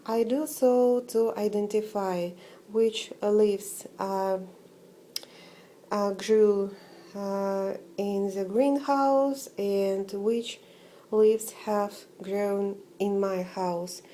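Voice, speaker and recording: Slow hesitant woman with a Russian accent. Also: medium pitch, fairly even intonation, warm tone, a little hiss or hum, poor audio. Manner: hesitant, many fillers and restarts. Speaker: orchid-growing vlogger. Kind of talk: casual home vlog.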